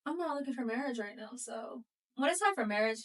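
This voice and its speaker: A woman in her twenties, co-hosting a casual podcast chat in which she asks thoughtful questions and agrees easily. Her voice is fairly high, and it sounds set back from the microphone. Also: animated, fairly quick, a bit bright, recorded from a distance. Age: 20s. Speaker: podcast co-host.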